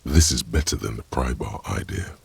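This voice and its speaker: A man voicing a brooding, wry inner voice that narrates a detective's thoughts in a game, gravelly and measured. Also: rumbling low, exaggerated voice.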